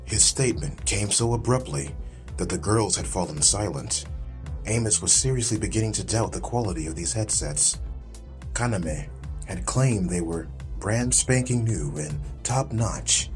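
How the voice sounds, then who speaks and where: A man's voice: on the low side, lively intonation, unhurried. A man reading scripted dramatic story narration as an audiobook narrator. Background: music.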